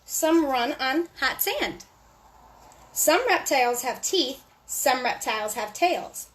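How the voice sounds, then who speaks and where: A woman hosting a storytime for young children, reading aloud in a sing-song, deliberate way with little asides to her listeners. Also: high-pitched, expressive, fairly bright tone, rough recording.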